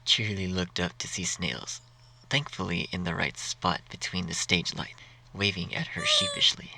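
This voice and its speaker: A man's voice: low voice, huge pitch swings, moderate pace, vocal fry, pushed and bright, slight background noise, rough recording. A man reading a scripted story as its narrator.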